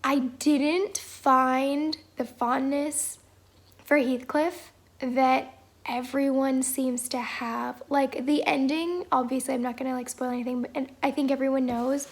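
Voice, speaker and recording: Home vlogger, thirties woman, hoarse from a cold, high voice, hesitant and rambling. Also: fairly even intonation, unhurried. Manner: rambling and hesitant, many fillers. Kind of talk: casual chat.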